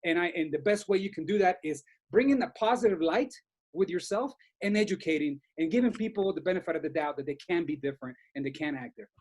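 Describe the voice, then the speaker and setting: A man's voice: on the high side, animated, fast, darkish tone. A man from Guatemala speaking earnestly as a panelist in a group discussion on a video call, often restarting and repeating words.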